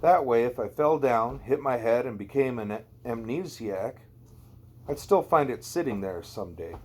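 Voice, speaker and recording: A man's voice: medium pitch, expressive, normal speed, a little hiss or hum. A man narrating a first-person novel as an amateur audiobook reader, in a conversational, wry storytelling way.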